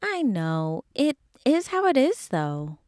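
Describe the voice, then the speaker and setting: A woman's voice: high voice, wildly swooping pitch, takes it slowly, a little mellow, dry recording, studio quality, full character voice. A woman playing a brash, sarcastic dinosaur park animal handler in an audio drama, talking in a wry, colloquial way.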